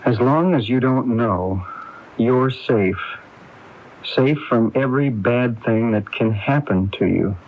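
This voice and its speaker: An actor playing a nervous, evasive and resentful younger brother in a radio mystery drama, with a husky voice. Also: male voice, mid-range voice, takes it slowly. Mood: frightened, evasive. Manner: evasive, defensive. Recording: tinny, band-limited AM radio broadcast recording.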